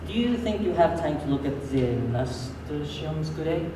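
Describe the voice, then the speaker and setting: An actor on stage playing a polite, smug, melodramatic Spanish assassin who poses as a gardener. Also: male voice, on the high side, lively intonation, lightly acted. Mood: mock-polite. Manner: slow, mock-courteous, melodramatic. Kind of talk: comic stage acting. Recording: very echoey hall, distant and noisy.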